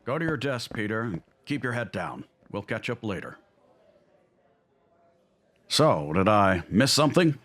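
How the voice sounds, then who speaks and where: Actor as wry, low-voiced seasoned newspaperman. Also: expressive, unhurried, fairly bright tone. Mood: hushed, brisk. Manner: pointed, questioning. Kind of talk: comic dub acting.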